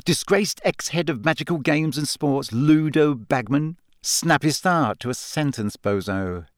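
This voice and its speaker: A man's voice: on the high side, measured pace, no echo, clean studio sound, lightly acted. A man voicing a gossipy, smug, predatory woman reporter in a storybook, with a drawling, insinuating manner. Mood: smug, conspiratorial.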